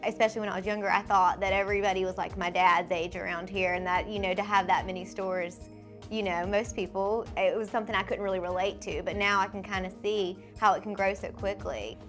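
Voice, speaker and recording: A woman's voice: mid-range voice, fairly even intonation, fairly quick, a bit bright, faint music behind. A young woman speaking as a franchisee in an interview testimonial. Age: young adult.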